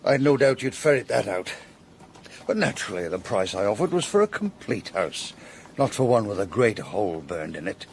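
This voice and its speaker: A gravelly, animated voice for a scornful English quarry owner in a period radio drama. He is blunt, belligerent and threatening, a sneering bully, recorded with noticeable background noise. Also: lowish voice, a little fast.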